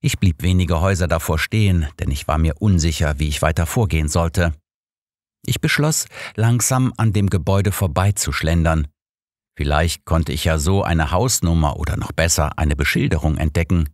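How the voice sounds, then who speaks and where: A man's voice: deep voice, normal speed, no echo. A man narrating a scripted first-person story as an audiobook reader, close on a studio microphone.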